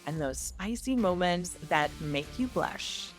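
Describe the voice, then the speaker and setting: A woman's voice: fairly low pitch, animated, slow. A woman hosting a podcast, reading a scripted promotional intro. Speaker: podcast host. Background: faint music.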